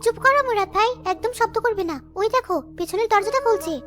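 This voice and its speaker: Woman voicing a very high-pitched brave village girl. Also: quick pace, music in the background. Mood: alert, commanding. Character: brave, sharp-witted village girl, young, bossy. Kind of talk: cartoon story dialogue.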